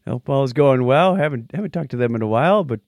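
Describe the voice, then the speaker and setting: A man's voice: medium pitch, expressive, fast talker, darkish tone, no echo. A man co-hosting a podcast with casual, joking banter and readings of listener messages. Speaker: podcast co-host.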